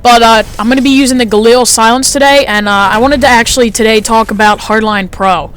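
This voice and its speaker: A man's voice: fairly even intonation, fairly quick, fairly bright tone, clean tone. Light-voiced teenage boy narrating gameplay. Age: teens. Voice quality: light, high-sounding voice. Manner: chatty, rambling, frequent fillers. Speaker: gameplay commentator. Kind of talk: casual gameplay commentary.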